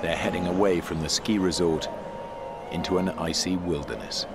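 Low-voiced man narrating a survival documentary. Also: steady tone, loud music behind the voice. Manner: measured, dramatic. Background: loud dramatic score.

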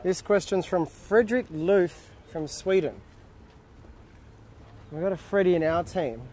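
Brief: young male host, slightly high voice, cheerful and jokey, outdoor handheld vlog. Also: a little slow, mellow. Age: young adult. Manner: cheerful, joking, reads questions aloud. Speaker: sailor hosting a fan Q&A. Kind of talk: casual on-camera chat. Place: outdoors at a boat tent by a harbour. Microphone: handheld camera close up.

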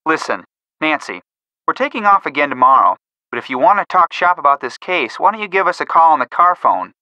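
A man's voice: fairly high pitch, very animated, a little fast, sharp tone, dry recording, lo-fi sound, full character voice. An actor playing a level-headed, drily witty teenage boy sleuth in scripted video game dialogue. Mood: friendly, wry.

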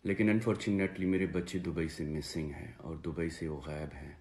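A man in his thirties with a Pakistani accent, giving an earnest, halting personal statement to camera and repeating phrases for emphasis. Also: extremely low pitch, steady tone, darkish tone. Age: 30s. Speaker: father making a personal video appeal. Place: small indoor room, seated before a plain wall.

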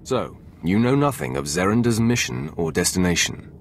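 An actor playing a stern, commanding Sith warrior in a video game, curt, imperious and controlled. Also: male voice, on the low side, very animated, normal speed, vocal fry, a little hiss or hum. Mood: cool command.

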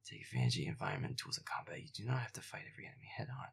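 A man playing a feisty, foul-mouthed werewolf boyfriend grumbling through a video game in a breathy, gravelly mutter. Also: low voice, very animated, rapid-fire, whispered, full character voice. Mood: tense muttering. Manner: irritable, sweary grumbling. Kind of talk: gameplay roleplay audio, muttered reactions.

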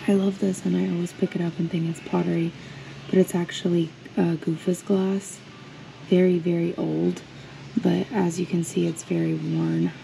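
A woman's voice: on the low side, lively intonation, moderate pace, creaky, mellow, rough recording. A woman narrating a casual shopping vlog while browsing an antique mall, chatty and admiring, with frequent ums, recorded on a handheld camera. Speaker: reseller vlogger. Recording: fan noise in the background.

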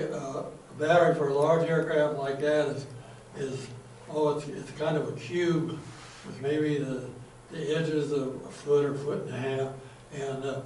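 A man in the audience of a small talk, sharing a chatty recollection with animated emphasis. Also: on the high side. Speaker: audience member. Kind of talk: comment from the audience. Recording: very echoey room, speaker far from mic, faint noise.

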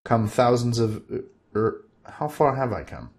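Man reading as a sardonic grumbling hero, lively pitch, dry wit. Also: unhurried.

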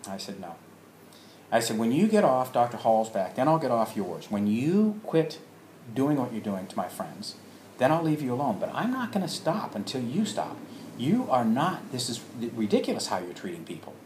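A man telling a personal story in a halting way, acting out remembered exchanges as he goes. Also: mid-range voice, sing-song, a little mellow. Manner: halting, acts out remembered dialogue. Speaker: storyteller, alternative health practitioner. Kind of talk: personal anecdote told to listeners. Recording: roomy echo with background noise.

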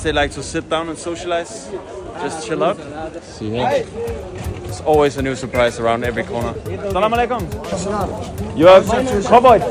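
A man in his thirties talks to a handheld camera at arm's length while strolling through a busy street market. His voice sits a little high for a man and stays bright and chatty. A music bed plays clearly underneath. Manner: upbeat, chatty, greets passersby. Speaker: travel vlogger. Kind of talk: walking vlog to camera. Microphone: handheld action camera at arm's length.

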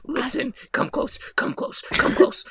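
A man voicing a creepy, lustful killer clown with hushed, coaxing menace in an improvised comedy. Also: a little slow, slight background noise.

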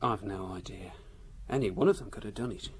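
A man's voice: lowish voice, a little fast, darkish tone, husky. An actor playing a cheeky, nervous womanising salesman in a radio drama, speaking in a hesitant, matey way. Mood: casual, sheepish.

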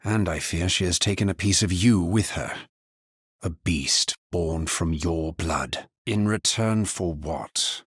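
An actor playing a grave, world-weary necromancer hero in a fantasy video game, speaking solemnly in measured, understated lines. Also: male voice, deep voice, husky, no room sound, studio quality, exaggerated voice. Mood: stern, urgent.

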